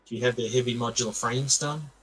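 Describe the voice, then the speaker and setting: A man from outside the United States, a guest player in casual game chat, giving relaxed, friendly, short replies. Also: mid-range voice, fast, breathy edge, low-quality recording.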